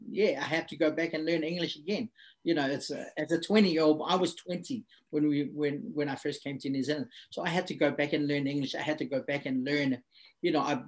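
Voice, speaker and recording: A man's voice: a little high, rough recording. Samoan-born man, reflective and earnest. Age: middle-aged. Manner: reflective, repeats phrases for emphasis. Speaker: guest. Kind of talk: interview.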